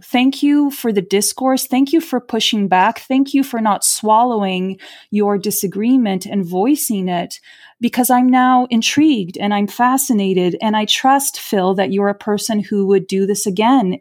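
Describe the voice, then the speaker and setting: A woman's voice: mid-range voice, unhurried, darkish tone. A woman playwright as a podcast guest, talking in an earnest, reflective way and repeating words for emphasis. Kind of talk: podcast interview.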